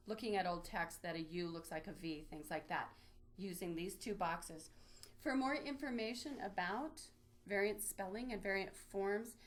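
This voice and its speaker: A woman giving an informal, unscripted instructional walkthrough, explaining things step by step as she demonstrates on screen. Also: fairly low pitch. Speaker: librarian giving a database tutorial.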